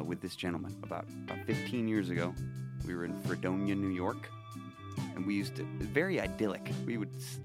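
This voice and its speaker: Low nasal man with a cold. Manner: rambling, self-deprecating, restarts a lot. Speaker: podcast host. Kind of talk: casual podcast chat.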